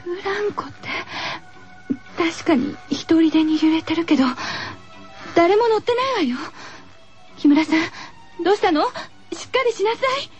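Horror radio drama: female teacher, played by a woman, very high pitch, startled but composed, dull lo-fi recording. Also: moderate pace, long pauses, darkish tone, faint music behind. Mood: alarmed, urgent. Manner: addresses her pupil in feminine, instructive speech.

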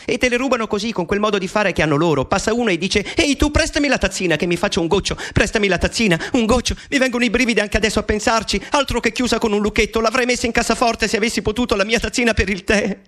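A high-voiced eccentric young mathematician. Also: male voice, very fast, few pauses, fairly bright tone. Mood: playful. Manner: intimate, playful, nervously eccentric. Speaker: actor playing Alan Turing. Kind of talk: theatrical radio monologue. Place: studio.

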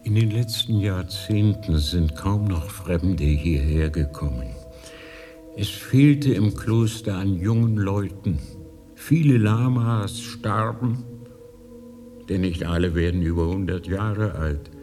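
Ancient, slow, deep-voiced lama. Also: male voice, dark tone, soft background music. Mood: calm, explanatory. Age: older. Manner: solemn, deliberate, measured storytelling. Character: ancient, wise, fatherly high lama of a mountain monastery. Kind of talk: 1980s German radio drama acting. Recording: long reverberant hall.

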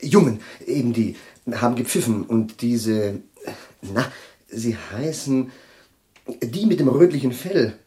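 Radio drama: a scatterbrained, courteous, jittery professor of medium pitch, who keeps searching for the next word. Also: male voice, expressive, mellow. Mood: nervous, courteous. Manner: halting, groping for words, formally polite. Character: absent-minded, jittery, courteous professor of chemistry. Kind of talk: German radio play acting.

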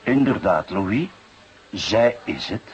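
A middle-aged Dutch police inspector speaks in a low voice. He is genial but shrewd, drawing out his interjections like a patient uncle. The radio drama recording carries faint background noise. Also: expressive, takes it slowly, muffled recording. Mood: calm, reassuring. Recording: noisy, dated radio play recording.

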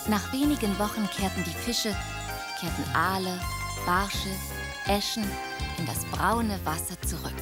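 A woman's voice: medium pitch, measured pace, over loud music. A woman narrating a radio drama in a storytelling manner.

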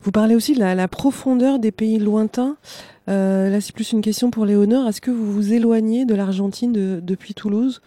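A woman's voice: medium pitch, normal speed, dark tone. A woman hosting a radio show, putting questions to guests in a conversational way. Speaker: radio host, interviewer.

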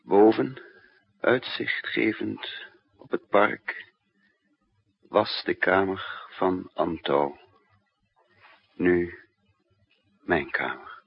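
An actor playing a weary, brooding male spy who narrates his own story with slow, deliberate drama in a 1960s radio play. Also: low-pitched, expressive, vocal fry, husky, heavily acted. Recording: dull, echoey old radio recording.

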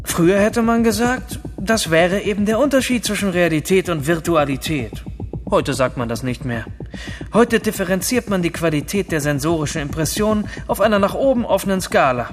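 A detached, wry commentator on a post-human virtual world lectures in a radio drama. His voice sits fairly high, with music behind it. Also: expressive, normal speed. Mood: wry, lecturing. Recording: music under the voice.

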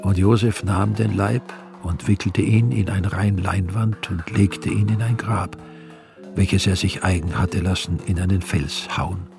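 An actor playing a solemn evangelist, narrating gravely and in measured phrases in a radio drama. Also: male voice, low-pitched, fairly even intonation. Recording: loud music behind the voice.

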